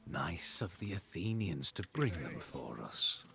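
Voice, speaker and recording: An actor playing a seasoned Spartan commander in a video game, dry and terse, over noisy ambience. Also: male voice, low-pitched, very animated, measured pace, vocal fry, full character voice. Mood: dry, wry. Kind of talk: game voice acting.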